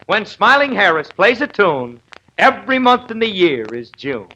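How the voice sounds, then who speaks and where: A cocky man bragging as a bandleader on a 1930s radio comedy. His voice sits high and rings sharp and bright. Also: sing-song, normal speed, a little hiss or hum, poor audio. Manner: brash, cocky wisecracking.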